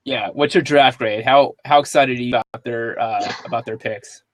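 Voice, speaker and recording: A man's voice: mid-range voice, normal speed, no room sound. A man hosting a sports podcast, upbeat and loose, joking with his guest. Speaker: podcast host. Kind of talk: casual podcast chat.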